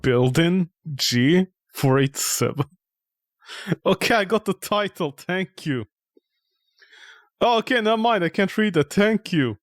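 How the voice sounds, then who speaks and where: A man's voice: high-pitched, animated, a little slow, pauses often, no echo, studio quality. A man doing live game commentary, talking to himself in a sarcastic, exclamatory way. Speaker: gaming streamer.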